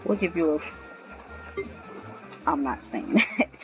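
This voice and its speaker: A woman playing a video game, casually muttering and thinking aloud in a halting way over game music. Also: low-pitched, lively intonation, highs cut off.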